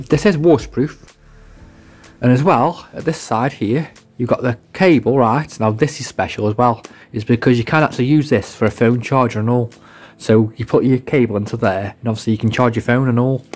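Man, mid-pitched voice, informal product reviewer, rambling, repetitive, casual hands-on demonstration. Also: darkish tone.

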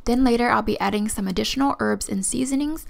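A woman's voice: a little high, fast, creaky. A young woman home-making vlogger giving a casual voice-over narration. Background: background music.

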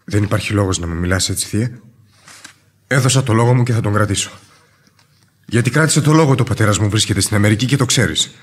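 An actor playing an earnest, proud young nephew in love in a radio drama. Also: male voice, on the low side, long pauses, close to an everyday voice. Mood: offended, firm. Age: young adult. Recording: noisy old radio recording.

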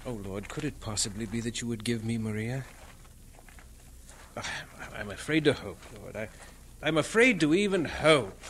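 An actor playing an earnest, halting young English missionary in an audio drama, lovestruck and fervent. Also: male voice, mid-range voice, lively intonation, noisy background, lightly acted. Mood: hesitant. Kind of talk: dramatised radio-play acting.

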